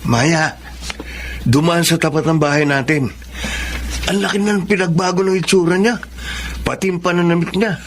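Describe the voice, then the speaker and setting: A man's voice: fairly high pitch, normal speed, pauses often, close to an everyday voice. A man playing an observant, impressed older brother in a radio drama, speaking in a musing way. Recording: faint background noise.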